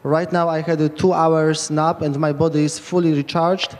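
Polish-accented man, high voice, rambling and eager, handheld mic, long reverb. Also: flat delivery, moderate pace, darkish tone. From Poland. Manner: rambling, enthusiastic, many restarts. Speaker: lightning talk speaker. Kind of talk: informal conference talk. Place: large tent stage at hacker camp.

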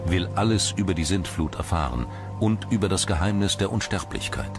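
A man with a low, deep voice narrates a documentary. His delivery is scripted and measured, like storytelling. Prominent music plays behind him. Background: prominent background music.

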